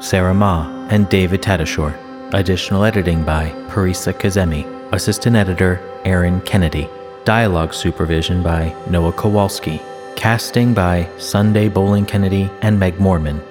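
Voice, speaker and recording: A man reading scripted credits as the announcer of an audio production. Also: sits low. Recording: long reverb, music under the voice.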